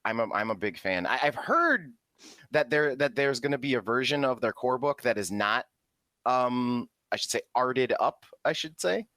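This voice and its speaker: Lively, expressive man with a dry close sound. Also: medium pitch, moderate pace, no room sound. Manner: animated, swears casually, many restarts and laughs. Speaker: podcast co-host. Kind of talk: casual chat.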